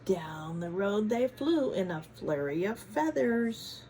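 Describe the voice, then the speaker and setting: A woman reading a picture book aloud to children in a teacherly way, pausing to ask them questions and adding dramatic emphasis. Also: medium pitch, big pitch swings, mellow, a little hiss or hum. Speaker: children's story reader, former teacher. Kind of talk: animated picture-book read-aloud with chatty asides to children.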